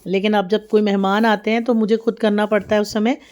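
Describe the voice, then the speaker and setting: A woman's voice: mid-range voice, flat delivery, fairly quick, darkish tone. A young woman chatting casually to viewers while cooking in her home kitchen, explaining each step as she goes. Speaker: vlogger. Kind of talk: casual cooking vlog chat.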